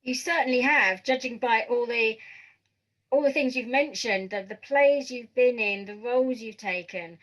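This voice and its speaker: A woman with a fairly high voice interviews a guest for a podcast. She is conversational and affirming, offering encouragement as she frames her questions. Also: moderate pace, fairly bright tone, poor audio.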